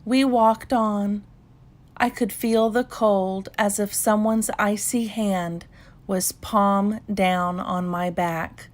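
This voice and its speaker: Very slow-paced woman reading a bedtime story. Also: mid-range voice, steady tone. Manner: measured, unhurried storytelling with pauses. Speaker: children's story reader. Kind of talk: calm bedtime read-aloud narration.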